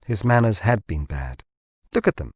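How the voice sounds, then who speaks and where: A man reading a novel aloud as scripted narration, in a measured and expressive storytelling manner. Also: lowish voice, slightly rough, no room sound. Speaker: audiobook narrator.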